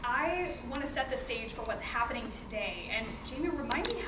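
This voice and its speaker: Woman, twenties, higher-pitched voice, informal workshop presenter, chatty, distant mic, roomy echo, background noise. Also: animated, normal speed. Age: 20s. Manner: chatty, informal, often laughing. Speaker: workshop facilitator. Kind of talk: informal live presentation to a group. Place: indoor room with a group, recorded off the mic.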